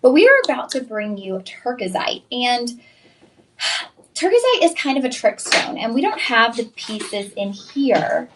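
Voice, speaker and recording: Young woman, shopping host, bubbly sales patter, slightly high voice. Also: big pitch swings, unhurried, a bit bright, slight background noise, poor audio. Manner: chatty, effusive, addresses viewers as y'all. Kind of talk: live-stream sales pitch.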